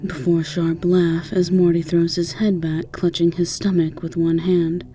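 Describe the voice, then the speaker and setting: Lower-voiced woman narrating a fantasy tale. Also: flat delivery, normal speed, mellow. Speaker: narrator and voice actor. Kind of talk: scripted second-person fantasy roleplay narration.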